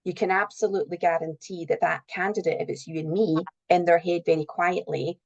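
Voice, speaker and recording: A woman's voice: lowish voice, fairly even intonation, a little fast, creaky, dull audio. A middle-aged woman giving earnest, explanatory answers as a guest in a conversational interview. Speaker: interview guest, recruitment and HR professional.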